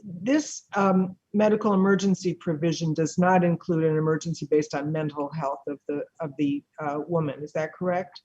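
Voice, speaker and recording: A presiding judge asks curious, conversational questions of counsel, her voice husky and breathy as she loses it. The audio comes through dull and muffled, as over a remote call. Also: mid-range voice, steady tone, moderate pace, hardly any pauses, a little mellow. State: losing voice.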